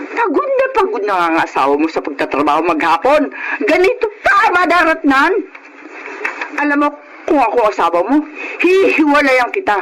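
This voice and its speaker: An actress playing a sharp-tongued older mother-in-law who scolds with exaggerated delivery in a radio drama. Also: a little high, a little hiss or hum, rough recording. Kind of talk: Filipino radio drama acting.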